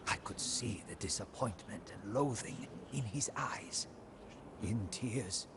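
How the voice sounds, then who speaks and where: A man's voice: medium pitch, slight background noise. An actor playing an ambitious, scheming old prime minister, solemnly and theatrically narrating his life for a storybook game campaign. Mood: dramatic, dismayed. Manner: solemn, theatrical, deliberate, with hushed line endings.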